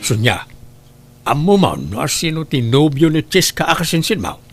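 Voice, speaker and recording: Gravelly, nagging Filipino father played by a man. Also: fairly high pitch, very animated, normal speed, loud music behind the voice. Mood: probing. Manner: scolding, questioning. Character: stern, nagging middle-aged father and uncle. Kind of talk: amateur comedy drama acting.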